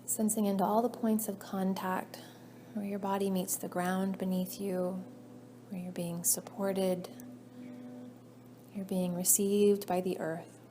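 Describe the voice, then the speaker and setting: A woman leading a guided meditation as a yoga nidra teacher, soothing and measured, her phrases trailing off softly. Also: medium pitch, level tone. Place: indoor class room. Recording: faint background noise, live class recording.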